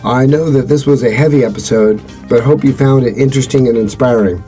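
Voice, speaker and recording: A man hosting a podcast, reading a scripted explanation in an earnest, measured way. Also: medium pitch, mellow, soft background music. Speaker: podcast host.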